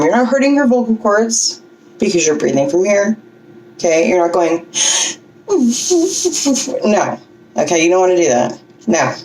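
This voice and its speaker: Low-voiced woman coaching breath, a little distant. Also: a little mellow, a little hiss or hum. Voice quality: low singing voice. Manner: chatty, self-deprecating, coaching with frequent "okay?" checks. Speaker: vlogger teaching breathing. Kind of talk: casual instructional vlog.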